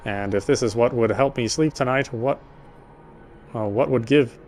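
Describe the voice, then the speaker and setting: A man's voice: mid-range voice, normal speed, a little mellow. Man narrating horror over music. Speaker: gameplay streamer reading a visual novel aloud. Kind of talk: dramatic read-aloud narration of a horror story. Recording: music behind the voice. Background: game music.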